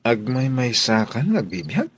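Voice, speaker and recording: A man playing a friendly, inquisitive employer in a radio drama, with a husky voice and a familiar manner. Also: mid-range voice, big pitch swings, a little slow, a bit bright, slight background noise. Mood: sympathetic, curious. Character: friendly, inquisitive male employer chatting with maids.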